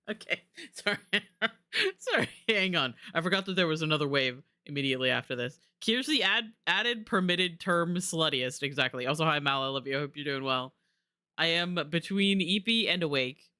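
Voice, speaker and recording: A man's voice: a little high, lively intonation, brisk, sharp tone, clear, resonant tone, no room sound. A man giving casual live commentary as a game streamer, talking into a close mic.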